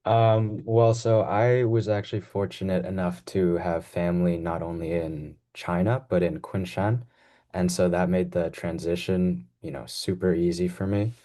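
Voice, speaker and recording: A young man from the United States, a student on a panel, answering in a relaxed way with many "you know"s. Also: low-pitched, moderate pace. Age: young adult.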